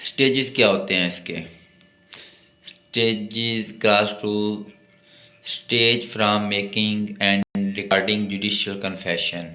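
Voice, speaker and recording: A man with a Pakistani accent giving a law lecture, reading out legal text deliberately and then explaining it. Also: fairly low pitch, steady tone, bright, forceful tone. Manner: alternates slow deliberate reading with plainer explanation. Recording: echoey, reverberant room sound.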